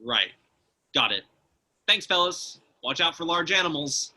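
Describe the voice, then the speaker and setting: A man playing a harried everyman in a script table read, with a raspy voice. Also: fairly high pitch, animated, a little slow, bright, forceful tone, heavily acted. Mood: uneasy, dry. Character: Joe, harried everyman trying to save his job.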